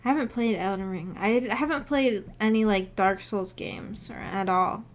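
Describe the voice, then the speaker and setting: A woman's voice: medium pitch, normal speed. A woman hosting a casual livestream, reading out and answering viewer comments in a giggly, hesitant, self-effacing way. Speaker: livestream host.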